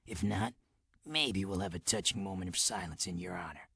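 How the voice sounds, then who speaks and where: Deep husky rebel boss for a video game, curt and disdainful, super dry studio sound. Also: male voice, normal speed, pushed and bright, no room sound, full character voice. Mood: briefing. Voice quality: low, gravelly. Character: gruff, hardened, scornful underground resistance commander, ex-guard. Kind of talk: video game cutscene acting.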